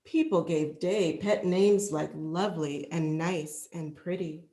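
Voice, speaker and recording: A woman reading a children's picture book aloud in an expressive, deliberate storytelling manner. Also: deep voice. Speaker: storybook reader, library executive. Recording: roomy, echoing sound.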